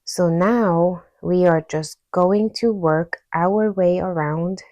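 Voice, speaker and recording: A woman with a Hungarian accent walks through a crochet pattern step by step. Her voice sits low and mellow with a gravelly edge, and she speaks very slowly. The sound is close and dry, with no room around it. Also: no echo. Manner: slow, patient, talks through each stitch aloud. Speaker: crochet tutorial host. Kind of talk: step-by-step craft tutorial.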